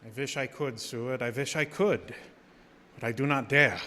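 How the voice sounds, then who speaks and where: An actor playing an ominous, solemn professor with portentous, emphatic phrasing in a live radio horror drama. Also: male voice, medium pitch, moderate pace, pushed and bright, lightly acted. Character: ominous, solemn professor, male vampire expert. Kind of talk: old-time radio horror drama acting. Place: live broadcast from a theater. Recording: reverberant theater stage, clear background noise.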